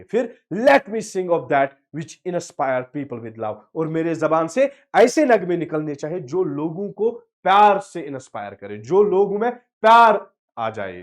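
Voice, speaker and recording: A high-voiced man teaches a poem to students on a close mic. He reads each English line slowly, then explains it at length. His voice sinks low and soft as each prayer line ends.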